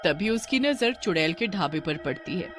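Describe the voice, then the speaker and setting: A woman's voice: fairly low pitch, lively intonation, moderate pace, soft background music. A woman narrator reading a scripted horror tale for a cartoon.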